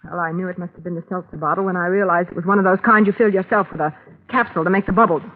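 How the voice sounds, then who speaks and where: A woman's voice: fairly low pitch, steady tone, very fast, narrow, tinny audio, a little hiss or hum, natural voice. An actress playing a shrewd, wisecracking, plucky young woman in a 1940s radio thriller. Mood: wry, sharp. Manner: wry, wisecracking. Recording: boxy, echoing 1940s radio recording.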